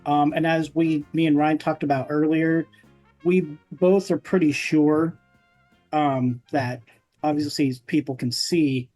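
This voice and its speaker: A man hosting a podcast in casual conversation, speaking in a halting, rambling way. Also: fairly high pitch, fairly even intonation, darkish tone, faint music behind, low-quality recording. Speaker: podcast host.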